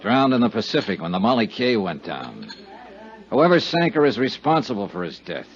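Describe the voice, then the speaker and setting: An actor playing a shrewd, hard-boiled insurance investigator in a 1950s American radio drama, speaking in terse, clipped lines. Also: male voice, fairly low pitch, sing-song, moderate pace. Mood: pressing, coaxing. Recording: muffled 1950s radio recording.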